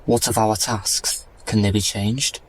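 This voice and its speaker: A man's voice: lowish voice, level tone, measured pace, husky, poor audio. A man playing an earnest, plain-spoken young titan prince in a fantasy audio drama. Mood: uneasy.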